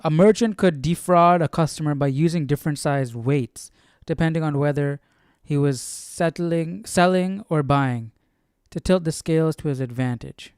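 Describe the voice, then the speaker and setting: A man hosting a casual Bible study, reading aloud and commenting as he questions himself and thinks out loud. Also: on the high side, normal speed, clear, resonant tone, dry recording, studio quality. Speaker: host of a Bible study stream.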